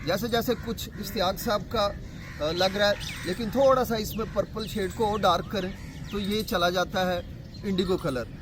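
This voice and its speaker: A man teaching a health and yoga lesson, explaining in plain words and often pausing with fillers. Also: high-pitched, fairly even intonation, noisy background. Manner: explanatory, with frequent hesitation fillers.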